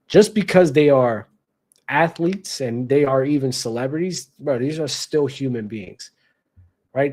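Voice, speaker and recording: A man's voice: medium pitch, a little mellow. A young adult man hosting a basketball talk show, giving blunt casual opinion commentary with slang and lots of repetition for emphasis.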